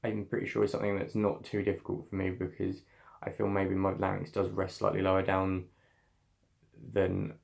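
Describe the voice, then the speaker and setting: A young man with a slightly deep, heavily gravelly voice talks through his scene work. His delivery is thoughtful and halting, full of fillers and restarts as he searches for words. He sounds like a drama student reflecting on his practice. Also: monotone, darkish tone. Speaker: acting student.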